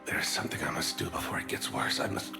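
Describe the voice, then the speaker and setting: An actor playing a dying, gentle and devout alien assassin, speaking weakly and formally with a gravelly, short-of-breath voice. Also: male voice, music in the background, natural voice. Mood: weak, solemn. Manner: halting, solemn, formal.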